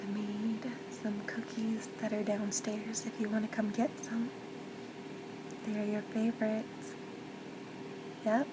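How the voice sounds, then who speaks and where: A woman playing a gentle, comforting mother in a soft-spoken ASMR roleplay, soothing and tender, often slipping toward a whisper. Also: monotone, slow, long pauses, natural voice. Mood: soothing, loving. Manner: soothing, tender, reassuring, hushed with whispery stretches. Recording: loud background noise with low rumble.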